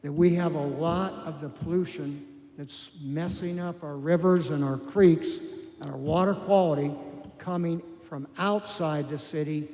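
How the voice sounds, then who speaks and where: A man's voice: fairly high pitch, fairly even intonation, a little slow, mellow. A man on a city council, raising his concerns in an earnest, insistent way. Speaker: council member. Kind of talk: council meeting discussion. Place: council chamber. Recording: long reverb of a council chamber.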